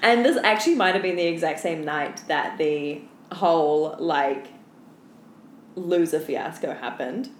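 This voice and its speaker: A woman's voice: low-pitched, unhurried. A young woman co-hosting a podcast, telling stories in casual, sweary, candid chat with lots of filler "like". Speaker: podcast co-host. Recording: roomy echo, faint background noise.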